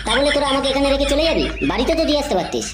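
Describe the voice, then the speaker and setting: A woman's voice: high voice, very animated, racing. A woman voicing a brash, cocky young male drunkard in a comedy cartoon, blustering and whining. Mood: indignant. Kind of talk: Bengali comedy cartoon dubbing. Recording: echoey, clear background noise.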